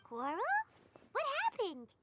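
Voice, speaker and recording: A woman voicing a tiny, childlike floating fairy girl in a video game, naive and excitable, with a squeaky voice. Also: a little slow, slight background noise. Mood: surprised. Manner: refers to herself in third person, exclamatory. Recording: loud music behind.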